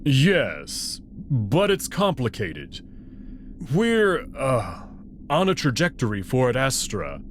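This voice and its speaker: A man voicing a nervous, evasive alien wolf in a visual novel, speaking in a hesitant, halting way. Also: medium pitch, wildly swooping pitch, a little hiss or hum. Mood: uneasy, evasive. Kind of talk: visual novel character voice acting.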